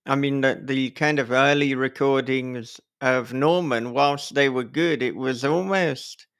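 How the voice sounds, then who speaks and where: Male podcast host, medium pitch, crisp resonant voice. Casual, wordy, effusive, swears lightly. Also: steady tone, unhurried, a bit bright.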